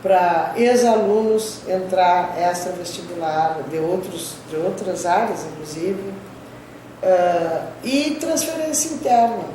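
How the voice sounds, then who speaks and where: A woman's voice: lowish voice, slow, mellow. An older woman professor from the southern Brazilian border, with a Gaúcho accent sprinkled with Spanish terms, recalling her career in an interview and tagging sentences with "né?". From southern Brazil border region. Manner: frequent "né?" tags, conversational storytelling. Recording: distant mic, echoey room, clear background noise.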